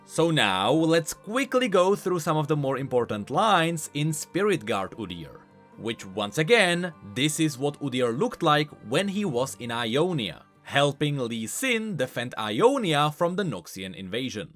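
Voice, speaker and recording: A man's voice: a little high, sing-song, unhurried, fairly bright tone. A man hosting an explanatory video game lore commentary, speaking in an emphatic way. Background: faint background music.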